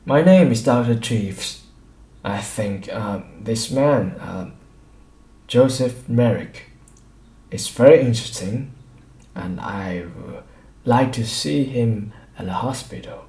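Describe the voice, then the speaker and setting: A man's voice: mid-range voice, takes it slowly, mellow, roomy sound. A man voicing a polite, reserved London doctor in an audiobook, courteous and a little hesitant. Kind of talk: audiobook character dialogue.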